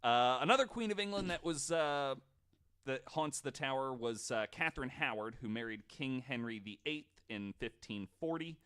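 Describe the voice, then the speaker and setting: A man's voice: mid-range voice, expressive, moderate pace, pushed and bright, clean tone. A man hosting a podcast, telling stories casually from notes with informal, sweary asides. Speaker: podcast host.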